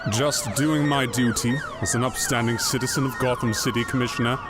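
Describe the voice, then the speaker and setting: An actor playing a smug, scheming crime boss turned politician in an audio drama, chuckling with mock politeness. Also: male voice, medium pitch, huge pitch swings, fairly quick. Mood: smug, gleeful. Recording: sirens and loud noise behind.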